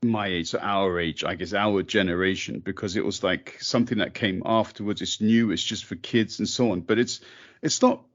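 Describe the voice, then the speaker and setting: A man's voice: low-pitched, fairly even intonation, normal speed, no echo, dull audio. A middle-aged man hosting a casual interview, chatting easily with lots of "you know" fillers.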